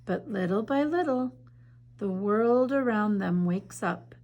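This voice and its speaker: A woman teacher reading a picture book aloud in a gentle, measured way, then chatting enthusiastically about its illustrations. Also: mid-range voice, lively intonation, muffled recording. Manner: gentle, measured reading with soft drops in volume; enthusiastic, chatty when discussing the art. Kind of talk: slow storybook read-aloud, then art discussion.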